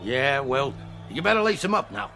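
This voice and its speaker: An actor playing a gruff, fussing, streetwise American boxing trainer in a radio drama. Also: male voice, mid-range voice, big pitch swings, normal speed, music in the background. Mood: dismissive.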